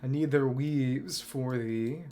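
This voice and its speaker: A man's voice: mid-range voice, steady tone, takes it slowly, mellow, faint music behind. A man livestreaming a video game, giving casual, bookish commentary that wanders into long tangents. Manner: bookish, discursive, digressive.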